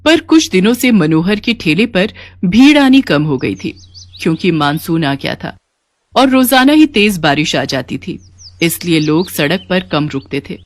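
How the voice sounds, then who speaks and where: A woman's voice: fairly low pitch, lively intonation, a little slow. A woman narrator reading a scripted children's story.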